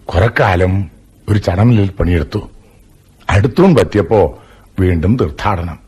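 Low-voiced, dignified old Malayali landlord. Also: male voice, lively intonation, measured pace, darkish tone, husky, heavily acted. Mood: reflective. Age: older. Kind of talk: radio drama acting.

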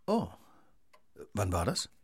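An actor playing a keen gentleman detective who questions people precisely in a radio drama. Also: lowish voice, huge pitch swings, takes it slowly, slightly rough, dry recording, exaggerated voice. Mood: probing.

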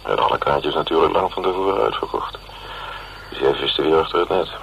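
Very deep, gravelly, sardonic investigator. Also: male voice, brisk, a bit bright, full character voice. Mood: teasing, boastful. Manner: wry, grumbling, colloquial. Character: gruff, sardonic male investigator dictating notes to his secretary. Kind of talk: Dutch radio drama, dictation on tape. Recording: slightly noisy radio drama recording.

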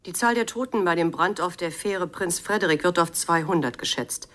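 A sober female newsreader played by an actress in a radio play. She reads a scripted bulletin in a fairly low voice, plain and factual. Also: normal speed, a bit bright, close to an everyday voice.

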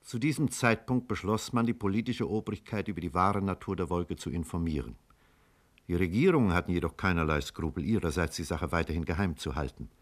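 An actor playing a measured scientist who narrates a past catastrophe in formal German radio drama style. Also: male voice, low-pitched. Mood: grave, sober. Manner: sober, formal, literary delivery.

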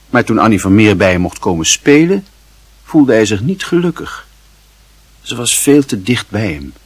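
A man reading scripted literary narration for a radio play in a measured storytelling manner. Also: on the low side, husky, no echo.

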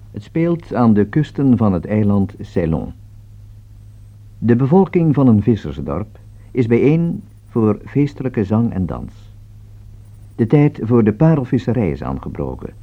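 A man with a Netherlands Dutch accent reads a formal scripted opera introduction as a radio announcer, in measured, careful enunciation. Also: deep voice. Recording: dull, band-limited old radio recording.

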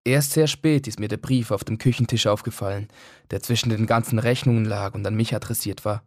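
A man's voice: on the low side, steady tone, a little fast, no echo, studio quality. A man acting a first-person radio drama monologue, telling it in a plain, conversational way. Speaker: actor narrating as a son.

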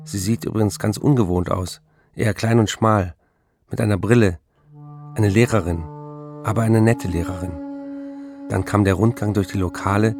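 Male actor as troubled, remorseful writer, intimate and measured, gravelly delivery, radio-play letter reading with music underneath. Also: fairly low pitch, steady tone, a little mellow, natural voice. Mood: tender confession. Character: troubled male writer confessing to his wife, tender, remorseful.

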